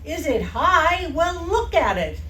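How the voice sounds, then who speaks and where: A woman playing a sharp-tongued, sarcastic housewife in an old-time radio comedy on stage. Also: extremely high pitch, big pitch swings, slow pace, full character voice. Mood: sarcastic exasperation. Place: live theater stage performance. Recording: roomy, distant, noisy stage recording.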